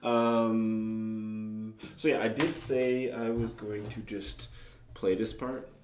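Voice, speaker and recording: A man chatting casually and unscripted as an amateur guitarist, halting and self-critical as he thinks aloud. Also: lowish voice, level tone, a little hiss or hum. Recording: roomy, distant mic, loud guitar. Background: acoustic guitar playing.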